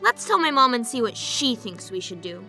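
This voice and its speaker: Lady doing a kid voice, super bright and bouncy, caring about the puppies. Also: a little high, very animated, moderate pace, faint music behind, pitched above the performer's own voice, exaggerated voice. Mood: worried. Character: eager young kid, caring about dogs.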